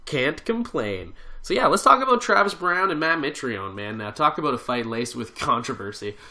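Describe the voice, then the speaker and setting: Hoarse, nasal man with a bright edge. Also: mid-range voice, big pitch swings, moderate pace. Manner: chatty, laughs often. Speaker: podcast host. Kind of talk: casual chat.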